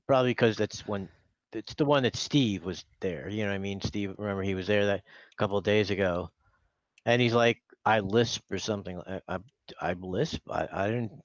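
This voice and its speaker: A man's voice: fairly low pitch, no room sound, muffled recording. A man chatting as a guest on a casual live stream, rambling with lots of fillers. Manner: rambling, full of fillers and restarts.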